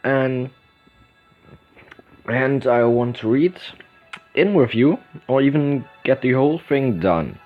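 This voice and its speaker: A man with a German accent talks slowly through a casual let's play, reading game text aloud. He stumbles over words and corrects himself. The recording is dull and lo-fi, with music playing behind him. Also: mid-range voice, animated. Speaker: gaming video host.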